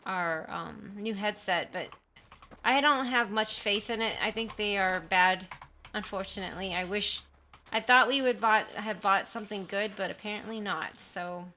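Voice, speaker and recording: A woman streams from a room at home, chatting easily with her viewers through a sensitive desktop mic. Her voice is bright and clear at a middling pitch. She rambles and keeps apologizing, and a little background noise comes through. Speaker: livestreamer. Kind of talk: casual chat with viewers. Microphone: desktop condenser mic at high sensitivity.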